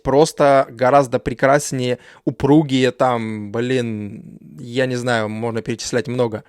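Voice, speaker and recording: A man's voice: medium pitch, dry recording, studio quality. A man hosting a reaction video, talking in a casual, dismissive way with slang and fillers.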